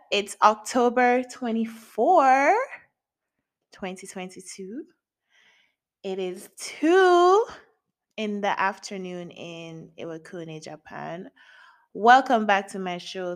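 Woman, late twenties, solo podcast host; unhurried, candid, playful slang, laughs a lot. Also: medium pitch, fairly bright tone. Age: late 20s. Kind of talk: casual solo podcast chat. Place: home in Japan.

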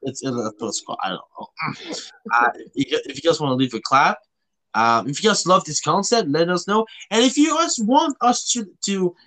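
A man from Canada hosting a casual podcast, talking in a halting way and often rephrasing himself. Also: a little high, lively intonation, fairly bright tone, low-quality recording. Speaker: podcast host.

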